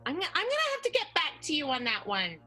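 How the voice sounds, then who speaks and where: Bright, high, flustered young woman, acted by a woman. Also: wildly swooping pitch, fast, soft background music, heavily acted. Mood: flustered, polite. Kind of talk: improvised radio soap acting.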